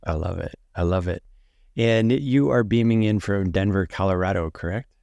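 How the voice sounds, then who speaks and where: Enthusiastic male podcast host, gravelly low voice. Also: normal speed, a little mellow, no room sound. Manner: upbeat, enthusiastic, affirming. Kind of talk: podcast interview hosting.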